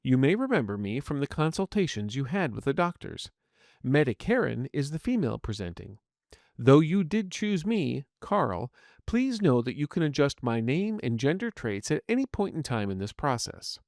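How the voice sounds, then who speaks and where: A man's voice: mid-range voice, sing-song, moderate pace, darkish tone, no room sound, studio quality. A man voicing a polite, earnest artificial intelligence medical assistant in an audio story, speaking formally and courteously. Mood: reassuring. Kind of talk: scripted audiobook narration in character.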